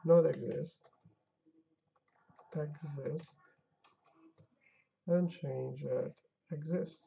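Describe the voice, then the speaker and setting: A tired game-dev streamer, his Albanian, drifts between mumbling over his code and chatting with viewers. His voice is gravelly and unhurried. The stream audio is dull and lo-fi. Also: fairly high pitch, steady tone. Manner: muttering to himself while testing, talking to chat. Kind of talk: casual live-stream chat while coding.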